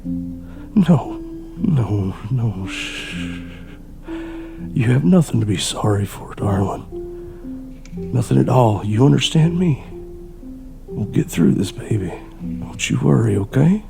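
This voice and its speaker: A man's voice: medium pitch, lively intonation, takes it slowly, vocal fry, long pauses, over music. A man playing a weary, embittered, protective father of a sick child in an audio drama, intense and emotional. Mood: tender, sad. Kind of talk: dramatic audio play acting.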